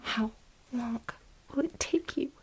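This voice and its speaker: A woman playing a frantic, aggrieved overworked bioengineer in a soft-spoken ASMR role play, with breathy whispering and halting, repeated words for dramatic stress. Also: a little high, slight background noise. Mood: hurt, exasperated. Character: frantic, aggrieved overworked female bioengineer pleading with her boss.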